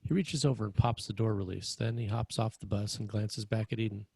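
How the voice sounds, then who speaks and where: A man's voice: on the low side, brisk, vocal fry, darkish tone, slightly rough, no room sound. A man reading screenplay stage directions as a narrator at a table read.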